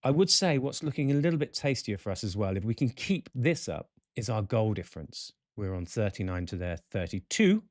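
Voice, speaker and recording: A man hosting a football fan show, reading out match stats and player ratings with chatty asides in a croaky voice. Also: on the low side, big pitch swings, a little fast, hardly any pauses, no room sound, clean studio sound. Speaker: football podcast host. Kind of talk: casual fan-show commentary.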